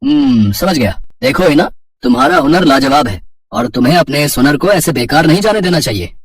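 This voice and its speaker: An actor playing a kindly, appreciative man in a cartoon story, earnest and encouraging, with a slightly husky voice. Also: a little high, expressive, a little fast, no room sound, recorded from a distance. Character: kindly, appreciative adult man, boss and husband in cartoon tale.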